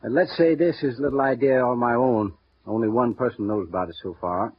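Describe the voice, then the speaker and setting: An actor playing a smooth, guarded male insurance executive who speaks in measured, confiding tones, in a 1950s radio drama. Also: mid-range voice, close to an everyday voice. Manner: measured, confiding, halting with small pauses. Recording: muffled vintage radio broadcast with faint hiss.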